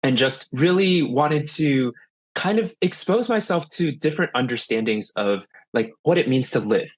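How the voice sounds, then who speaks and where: A man's voice: medium pitch, lively intonation, moderate pace, no room sound. A young man from Ohio, a podcast guest, speaking reflectively with lots of "like" and "right?". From Ohio, United States. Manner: reflective, heavy use of "like" and "right?". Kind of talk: casual interview.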